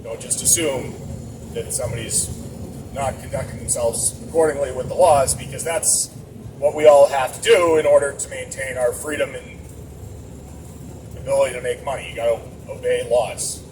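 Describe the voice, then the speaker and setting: A man's voice: mid-range voice, steady tone, moderate pace, a little mellow. A man from the area, rambling casually and poking fun at himself while he drives his truck. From Boston area. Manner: rambling, chatty, self-mocking. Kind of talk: casual vlog while driving. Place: truck cab on the road. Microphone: camera mounted inside the cab. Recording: distant mic with road noise. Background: engine and road noise.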